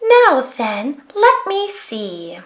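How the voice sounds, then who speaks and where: A kind little storybook girl, played by a woman, talks in a high voice, eager to help and encourage. She speaks slowly and deliberately. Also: huge pitch swings, exaggerated voice. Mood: encouraging. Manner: bright-spirited, encouraging. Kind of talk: children's picture book read aloud.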